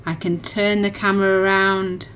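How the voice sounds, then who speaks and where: A woman's voice: medium pitch, level tone, normal speed, fairly bright tone. A woman reviewer in a casual, chatty and enthusiastic demonstration, rambling along as she explains. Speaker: app reviewer.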